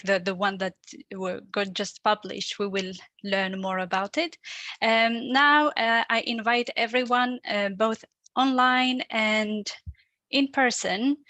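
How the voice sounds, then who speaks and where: A woman's voice: mid-range voice, bright, forceful tone, dry recording. A woman moderating a session, thanking the speaker and inviting questions with frequent hesitations.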